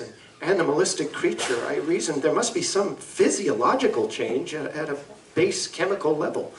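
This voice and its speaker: A man playing an anguished Victorian gentleman scientist in a live audio drama, speaking formally, eloquently and deliberately. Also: on the high side, slight background noise, close to an everyday voice. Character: anguished gentleman scientist confessing to a monstrous alter ego. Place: live convention performance in a room. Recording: roomy live stage recording, distant mic.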